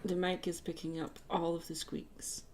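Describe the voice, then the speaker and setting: A woman's voice: fairly low pitch, little pitch movement, moderate pace, very noisy. A woman chatting casually and playfully while livestreaming her artwork. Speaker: digital artist livestreaming.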